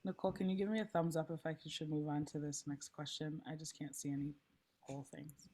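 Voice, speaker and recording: A woman facilitating an online meeting, a little hoarse, friendly and chatty with frequent ums, speaking through a laptop mic on a video call. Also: low voice, fairly even intonation, a little fast, mellow. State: slightly hoarse. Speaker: session facilitator. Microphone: laptop mic, then headphones.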